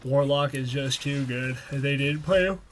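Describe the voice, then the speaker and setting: A man narrates gameplay in a casual, slangy way, as if chatting to a friend. His voice sits at a middle pitch, with clear game noise behind it. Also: unhurried, rough recording. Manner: slangy, conversational. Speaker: gaming video creator. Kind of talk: casual gameplay voice-over commentary.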